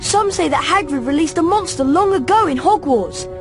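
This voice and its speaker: A woman's voice: sits high, animated, moderate pace. A performer, a woman, playing a brave, earnest young schoolboy wizard in a video game. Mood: nervous, earnest. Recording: music under the voice.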